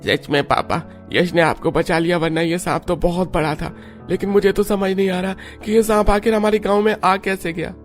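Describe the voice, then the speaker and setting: A man's voice: high-pitched, steady tone, moderate pace, soft background music, voice pushed up, natural voice. A man voicing an earnest, polite village youth in a cartoon, with an urgent manner. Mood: relieved, puzzled. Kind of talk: Hindi moral-story cartoon dubbing.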